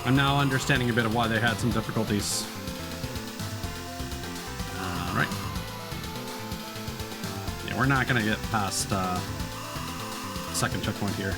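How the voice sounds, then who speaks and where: A man with a fairly low voice gives casual live commentary as a video game streamer. He talks in an informal, unscripted way. Music plays clearly behind his voice. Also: a little slow, long pauses. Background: loud video game music.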